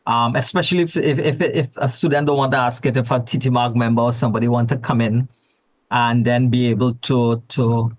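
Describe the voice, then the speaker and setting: A man's voice: medium pitch, fairly even intonation, fairly quick. A man with a Trinidadian accent presenting at an online forum, chatty and repeating words as he restarts. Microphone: video call. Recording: dull lo-fi video call audio.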